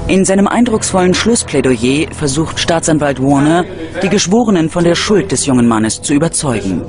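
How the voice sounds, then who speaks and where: Animated male true-crime narrator, standard German accent. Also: on the high side, moderate pace, over music. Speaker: documentary narrator.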